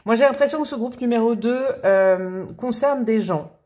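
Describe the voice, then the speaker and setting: A woman doing a casual, chatty tarot reading to camera, with expressive, playful emphasis. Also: fairly high pitch, moderate pace. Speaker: tarot reader.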